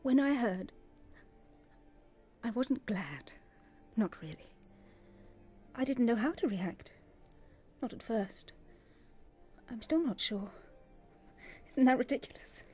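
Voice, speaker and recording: A woman's voice: a little high, expressive, slow, long pauses, warm tone, faint music behind. A woman playing an overwrought, obsessive Victorian actress in a radio drama, intense and emotional. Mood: subdued, wounded.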